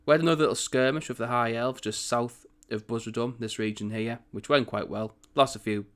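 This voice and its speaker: A man hosting a strategy game commentary, chatty and rambling, with a croaky morning voice. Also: mid-range voice, fairly even intonation, brisk, a bit bright. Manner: chatty, rambling, self-correcting. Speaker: gaming channel host.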